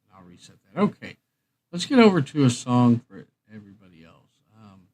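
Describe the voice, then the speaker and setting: A man's voice: medium pitch, very animated, a little fast, darkish tone. A man hosting a live stream, chatting casually to viewers in a rambling, folksy way.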